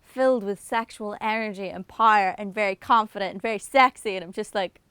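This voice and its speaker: A woman's voice: mid-range voice, fairly quick, a bit bright, smooth, dry recording. Self-mocking young Irish woman, dry close sound. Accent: Northern Irish. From Ireland. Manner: chatty, self-mocking, giggly asides. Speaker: singer answering autocomplete questions. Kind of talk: casual self-deprecating chat to camera.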